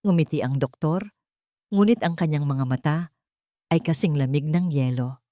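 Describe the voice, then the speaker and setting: Woman, audiobook narrator, slightly low pitch, dramatic scripted storytelling, dry close sound. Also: moderate pace, dark tone, dry recording.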